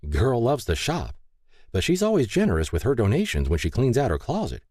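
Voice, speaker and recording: A man's voice: medium pitch, wildly swooping pitch, a little fast, darkish tone, dry recording, studio quality, voice pushed up. A man voicing a kindly, candid older small-town wife in an audiobook. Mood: friendly, coaxing. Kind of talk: audiobook character dialogue.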